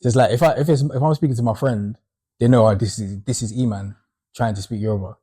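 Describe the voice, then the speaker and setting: A man's voice: mid-range voice, fast talker, mellow, slightly rough. A man talking earnestly and reflectively in a casual group discussion. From Britain. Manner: earnest, reflective, uses fillers like innit. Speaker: panel guest.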